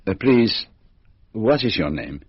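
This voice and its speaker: A sharp, eccentric detective in a radio drama. His delivery swings widely in pitch, formal and deliberate, then bursting into exclamation. The voice is husky and the recording slightly dull. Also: big pitch swings, dry recording, heavily acted. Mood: courteous, insistent. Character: eccentric, sharp, courteous foreign male private detective.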